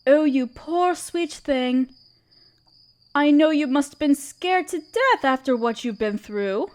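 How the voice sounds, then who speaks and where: A woman playing a regal, tender spider queen in an audio roleplay, speaking in a gentle, soothing and slightly formal way. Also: high voice, expressive, slow pace. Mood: motherly, soothing. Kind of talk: scripted roleplay audio drama. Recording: crickets chirping behind the voice. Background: crickets and night insects.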